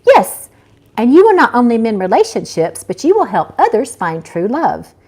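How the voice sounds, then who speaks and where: A woman's voice: a little high, huge pitch swings, moderate pace, a little mellow, full character voice. A woman reading a story, voicing a prim, impatient older female angel mentor who instructs snippily. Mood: brisk, firm. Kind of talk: live audiobook-style reading.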